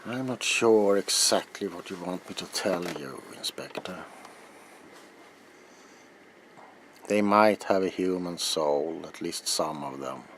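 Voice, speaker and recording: Older male vampire hunter , acted by a man, very low and slow, grave and ominous, dramatic ASMR roleplay delivery that sinks into whispers. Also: fairly bright tone, a little hiss or hum. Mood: grave, brooding. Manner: deliberate, dramatic, dropping into breathy whispers. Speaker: character performer in roleplay.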